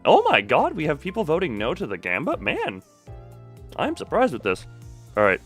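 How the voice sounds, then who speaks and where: A man chats casually as a game streamer, with a lively, animated pitch. He is playful and jokey, rambling as he answers his viewers. Faint music plays behind his voice. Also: moderate pace, breathy edge. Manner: playful, jokey, talking back to chat.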